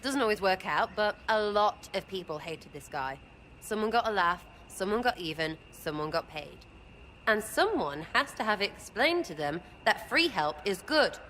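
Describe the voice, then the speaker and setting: A woman's voice: mid-range voice, expressive, normal speed, sharp tone, a little hiss or hum, rough recording. A woman voicing a cheeky, irreverent young thief archer in a video game cutscene, brash and crude in her speech. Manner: brash, mocking, slangy and crude.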